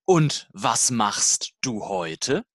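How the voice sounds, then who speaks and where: Language-course dialogue reader: very slow, deliberate delivery, lively pitch movement. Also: no room sound, clean studio sound. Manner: slow, deliberate, simple learner-level sentences.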